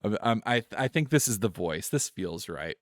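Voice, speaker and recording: A man's voice: fairly low pitch, expressive, no echo, studio quality. A man giving casual, joking, sweary commentary while playing a visual novel on a live stream. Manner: joking, irreverent, sweary, quick to giggle.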